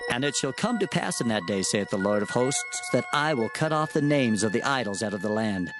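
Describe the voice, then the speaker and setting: An actor playing a grave, earnest Hebrew prophet in a dramatized scripture reading, measured and declamatory. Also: male voice, mid-range voice, animated, lightly acted. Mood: solemn. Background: music.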